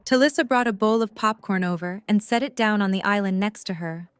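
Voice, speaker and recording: A woman's voice: medium pitch, fairly quick, clean tone, no echo. A woman reading scripted story narration as the narrator of an audio drama.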